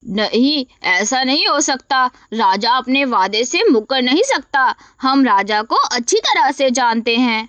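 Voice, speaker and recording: A woman voicing an earnest, loyal elephant in an animated story, firm and plain-spoken. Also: high-pitched, a little slow, few pauses, no room sound, voice pushed down. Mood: disbelieving. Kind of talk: animated moral story acting.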